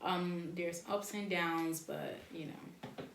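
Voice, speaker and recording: A woman in her twenties co-hosting a podcast, talking softly and hesitantly with many fillers. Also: fairly low pitch, steady tone, slow pace, gravelly, a little hiss or hum. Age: 20s. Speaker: podcast co-host. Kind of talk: casual chat. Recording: echoey room.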